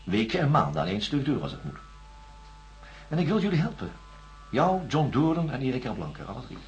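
An actor playing a doctor in a radio drama, questioning a patient in a measured, coaxing, methodical way. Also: male voice, medium pitch, sing-song, lo-fi sound, a little hiss or hum, natural voice. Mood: measured, probing. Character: probing, reassuring male doctor interviewing a patient. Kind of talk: science-fiction radio drama acting.

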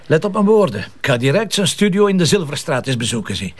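Wry, animated Flemish police commissioner. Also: male voice, on the high side, fast. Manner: teasing, sardonic, colloquial. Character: wry, grumbling male police commissioner with a sore knee. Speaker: actor in a radio crime drama. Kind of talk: naturalistic radio drama acting.

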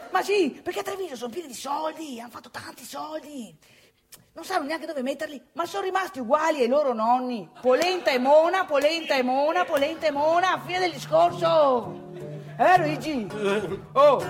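A woman performing a comic stage monologue as a brash, grumbling provincial man with a northeastern Italian accent, colloquial, boastful and repetitive. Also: high voice. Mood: mocking, boastful. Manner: colloquial, boastful, repeats phrases, addresses listeners directly. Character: brash, grumbling provincial young man chasing women in bars.

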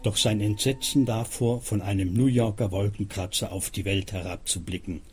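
A man's voice: lowish voice, fairly even intonation, moderate pace, a little mellow, faint music behind. A man reading scripted narration for a radio documentary. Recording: clear ambient noise behind.

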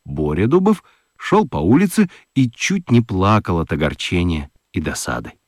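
Low-voiced, expressive man narrating a story. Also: husky, no room sound, studio quality. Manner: expressive storytelling with dramatic pauses. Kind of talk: scripted children's story narration in radio play.

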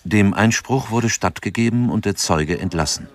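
An actor narrating a scripted radio drama in a sober, factual reporting manner. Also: male voice, on the low side, moderate pace, close to an everyday voice.

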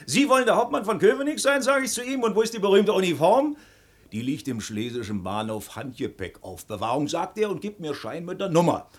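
An actor in a 1950s German radio play, playing a self-satisfied, talkative police official who reports with officious eagerness. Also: male voice, sits high, sing-song, brisk. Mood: pleased, eager.

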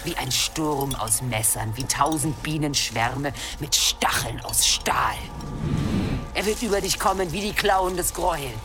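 Solemn old prophetess over music. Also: female voice, deep voice, unhurried. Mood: foreboding. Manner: solemn, incantatory, repetitive. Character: stern, riddling old woman seer. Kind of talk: German audio drama acting.

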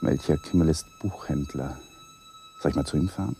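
An actor in a radio play as a young, awkward lawyer, an evasive husband who placates and broods in inner monologue. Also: male voice, extremely high pitch, huge pitch swings, normal speed, dark tone, heavily acted. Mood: musing, obsessive.

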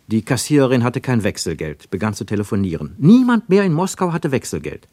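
A man narrating a scripted radio drama in a measured, literary and dramatic manner. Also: mid-range voice, big pitch swings, mellow, dry recording.

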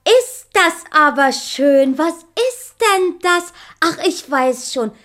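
Excitable, kindly simpleton for fairy-tale radio drama: high, animated, naive and theatrical. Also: female voice, slow pace, full character voice. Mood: delighted. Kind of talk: radio play acting for a children's fairy tale.

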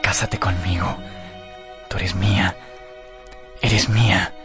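An actor playing a vain, passionate and troubled young gentleman in a radio drama, with intense, theatrical, at times breathy delivery. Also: deep voice, fairly even intonation, takes it slowly. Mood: ardent. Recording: music behind the voice.

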